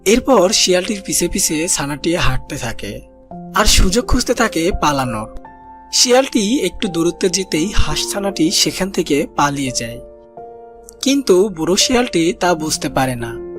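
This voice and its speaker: A storyteller narrates a children's cartoon tale in a mid-pitched voice. Music plays clearly behind the scripted reading. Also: very animated, normal speed.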